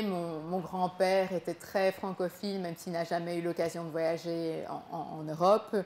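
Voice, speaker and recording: A young woman who grew up in Belgium, telling her own story to a small audience in a gallery room, halting and repeating words as she goes. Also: on the low side, dark tone, reverberant. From Congo, raised in Belgium. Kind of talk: live spoken performance.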